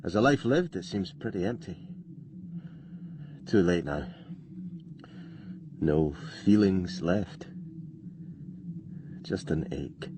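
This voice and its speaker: Doomed spaceman in a radio play, low melancholy voice, drawn out, faint noise behind. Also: darkish tone. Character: resigned, melancholy doomed astronaut Hollis, falling alone to Earth. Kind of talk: radio drama acting.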